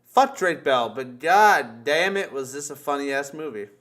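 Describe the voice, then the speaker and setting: A man chatting casually as a podcast host, excitable and halting, swearing freely. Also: a little high. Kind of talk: casual, profane group chat.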